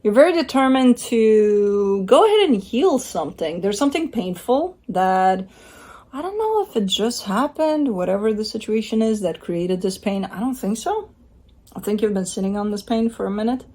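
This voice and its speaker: A woman's voice: mid-range voice, expressive, moderate pace, dark tone. A woman giving a casual tarot reading at home, talking in a chatty, rambling way with blunt asides. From Romania. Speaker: tarot reader. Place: home by a window with a bird feeder.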